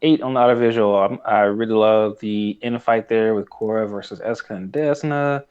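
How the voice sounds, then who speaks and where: A man's voice: fairly low pitch, moderate pace, darkish tone, clear, resonant tone, dull audio. A man co-hosting a podcast, recapping in casual chat with a hesitant, easily amused delivery. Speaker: podcast co-host.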